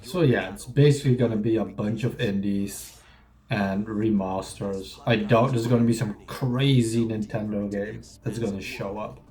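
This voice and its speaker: A man's voice: fairly low pitch, steady tone, normal speed, a little mellow. A man who is sick and sniffling, chatting casually and offhandedly while reacting to video game announcements. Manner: rambling, offhand, sometimes wry. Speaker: gaming reaction streamer. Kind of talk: casual live reaction chat. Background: faint trailer music.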